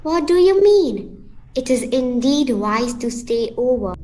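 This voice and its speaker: A woman playing a gracious, composed countess with a polite, measured manner in an amateur radio play. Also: high voice, big pitch swings, dark tone, exaggerated voice. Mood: concerned, insistent.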